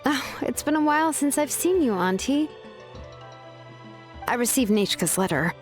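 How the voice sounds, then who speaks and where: Laid-back young game heroine, music behind. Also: female voice, high-pitched, animated, moderate pace. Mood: friendly. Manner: casual, explanatory. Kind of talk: video game character acting.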